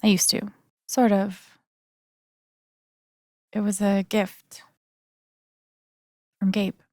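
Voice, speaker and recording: A woman's voice: medium pitch, flat delivery, drawn-out words, dry recording, studio quality. An actress playing a candid, wry young woman in a video game, speaking in a plain, conversational way. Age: young adult. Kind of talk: naturalistic video game acting.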